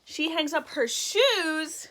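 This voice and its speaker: A woman with a high, bright voice reads a picture book to young children in the manner of a teacher. Her delivery is unhurried and expressive, and she stops to put words into simple terms. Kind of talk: children's read-aloud with simple explanations.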